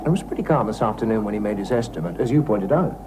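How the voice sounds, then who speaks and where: Courteous, guarded actor as ship's officer, lively intonation. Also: male voice, on the low side, fast, background noise, lightly acted. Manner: formal, courteous, noncommittal. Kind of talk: television drama acting. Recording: old TV soundtrack under loud music.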